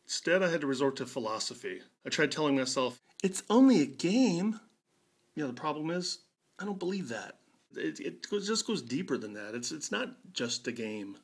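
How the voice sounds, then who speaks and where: A man's voice: a little high, sing-song, moderate pace, slightly rough. A man in his fifties with an American accent gives a conversational personal monologue in a wry, emphatic way. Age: 50s. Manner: wry, emphatic, rhetorical questions. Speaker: video blogger.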